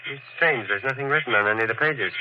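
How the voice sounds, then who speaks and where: An actor playing a stern, rational doctor in a 1940s radio thriller, speaking with impatient authority. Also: male voice, mid-range voice, quick pace, natural voice. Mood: firm. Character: stern, rational male doctor taking charge. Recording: noisy, muffled 1940s radio recording.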